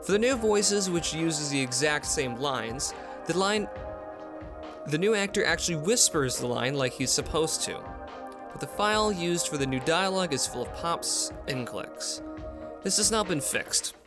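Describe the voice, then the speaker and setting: A man presenting a software update video in scripted explainer narration. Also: on the high side, animated, a little slow. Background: music.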